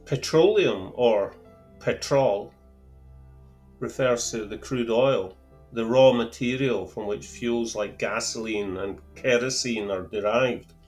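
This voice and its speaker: Slow, careful Scottish man. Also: medium pitch, darkish tone, faint music behind. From Scotland. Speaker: outdoor gear channel host. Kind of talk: measured explanatory talk to camera.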